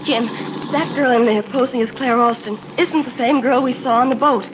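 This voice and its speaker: An actress playing an eager, sharp-eyed female assistant in an old-time radio drama. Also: a little high, normal speed, lightly acted. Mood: agitated, insistent. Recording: muffled, noisy old radio recording.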